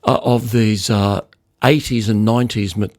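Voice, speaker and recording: A man's voice: lowish voice, fairly even intonation, moderate pace, a little mellow, dry recording. Older man, emphatic, in a dry recording. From Australia. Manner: emphatic, repeats words for emphasis. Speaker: loudspeaker designer, podcast guest. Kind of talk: conversational interview storytelling.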